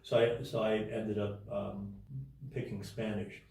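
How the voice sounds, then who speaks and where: Man, interview guest, halting storyteller, warm dark tone, roomy sound. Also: lowish voice, little pitch movement, creaky, distant mic. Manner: halting, many restarts and fillers.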